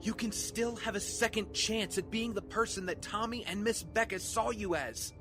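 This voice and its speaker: Guy playing guilty young hero, earnest, highish tense voice, audio drama with faint music. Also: moderate pace, natural voice. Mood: impassioned, pleading. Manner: earnest, emphatic pleading.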